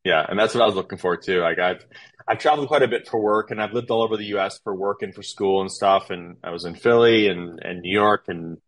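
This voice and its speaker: A man in his thirties with a lowish voice talks through his life story as a podcast guest. He rambles and restarts often, filling pauses with "um" and "you know.". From Canada. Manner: rambling, with frequent fillers and restarts. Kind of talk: casual interview storytelling.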